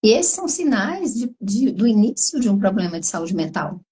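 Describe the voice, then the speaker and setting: Hoarse Brazilian woman explaining at length. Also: mid-range voice, big pitch swings, moderate pace, warm tone. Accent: Brazilian Portuguese. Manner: explains at length, tags sentences with questions. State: hoarse from a cold. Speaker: psychologist guest. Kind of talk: explanatory live conversation.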